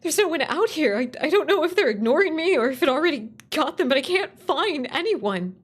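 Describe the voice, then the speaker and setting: A woman playing a terrified lone camper phoning in for help in a horror radio play, stammering and halting. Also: sits high, sing-song, pitched above the performer's own voice. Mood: frantic, lost.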